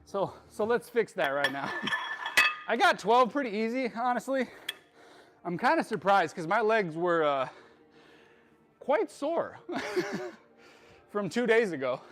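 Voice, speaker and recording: A man's voice: sits high, sing-song, takes it slowly, long pauses, fairly bright tone. A man hosting a live workout stream, chatting with viewers between lifts in a joking, hyped-up coaching way. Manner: hyped, joking, bro-style coaching talk.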